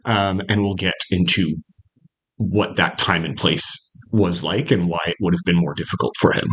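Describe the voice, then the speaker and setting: A man teaching a small literature seminar, talking hesitantly with lots of fillers and checking in with "right?", his voice a little rough from coming down with something. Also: low voice, normal speed, fairly bright tone, poor audio. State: slightly unwell, voice cracking. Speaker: literature instructor. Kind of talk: casual seminar lecture. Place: small classroom.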